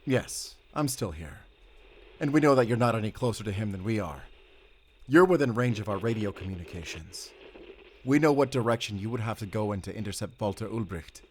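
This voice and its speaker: Male actor as determined hunter, audio drama, low voice, earnest, tense, defiant, light cricket ambience. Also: lively intonation, fairly quick, darkish tone, close to an everyday voice. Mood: shrewd. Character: determined, weary male werewolf hunter, defiant under pressure. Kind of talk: cinematic audio drama acting. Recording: noisy outdoor night ambience.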